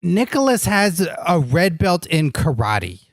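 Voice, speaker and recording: A man's voice: fairly high pitch, very animated, slow pace, no echo, studio quality, heavily acted. A man playing a naive, earnest wrestler sidekick on a comedy podcast, making absurd points with a straight face. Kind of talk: improvised comedy podcast chat.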